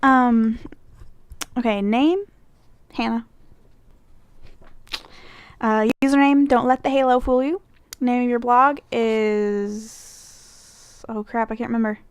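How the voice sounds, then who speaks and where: A woman's voice: on the high side, steady tone, slow pace, pauses often, clean tone, no echo. Chatty Midwestern young woman, dry close sound. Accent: Midwestern American. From Michigan, United States. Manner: chatty, rambling, lots of fillers and self-corrections. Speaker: vlogger. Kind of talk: casual answers to a fan questionnaire.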